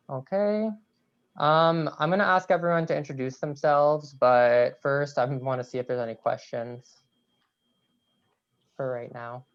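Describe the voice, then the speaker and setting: Gravelly man hosting a game call. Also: mid-range voice. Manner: relaxed, many "um"s. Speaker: game host. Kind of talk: explaining rules on a video call. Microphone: video call. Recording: slightly dull video-call audio.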